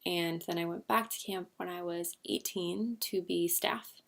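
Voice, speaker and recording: Very gravelly young woman. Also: fairly low pitch, steady tone, a little slow. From United States. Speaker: university student. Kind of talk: casual self-introduction.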